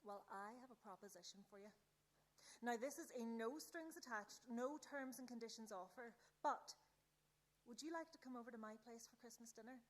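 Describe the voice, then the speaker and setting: Woman as an earnest, high-voiced teenage punk girl. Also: normal speed, close to an everyday voice. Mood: warm, inviting. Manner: earnest, upbeat. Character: friendly, eager teenage girl, secret punk rocker. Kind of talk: radio play acting.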